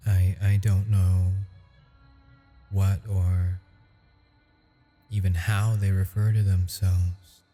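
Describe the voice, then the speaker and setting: A man voicing a gentle, teasing male ghost who speaks softly and intimately in an ASMR audio roleplay, chuckling often. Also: low-pitched. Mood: wondering.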